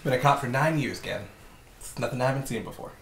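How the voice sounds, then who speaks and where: A man's voice: medium pitch, quick pace, a bit bright, lightly acted. A man acting an uneasy, guilt-ridden police officer in a scripted drama read, hesitant and plain-spoken. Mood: uneasy, guarded. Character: uneasy, guilt-ridden male cop, nine years serving. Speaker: actor reading a police officer at a table read.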